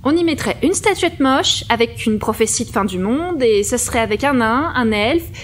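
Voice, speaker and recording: A woman's voice: fairly high pitch, lively intonation, normal speed, slight background noise, close to an everyday voice. A woman playing a busy, snobbish start-up worker in a comic audio drama, self-assured and trendy in manner. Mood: insistent.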